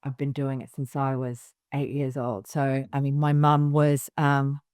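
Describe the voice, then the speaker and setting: A woman's voice: extremely low pitch, level tone, unhurried, darkish tone, clean tone, dry recording, studio quality. A middle-aged woman from Hong Kong, a business founder giving direct, emphatic advice in a casual interview, with frequent fillers and restarts.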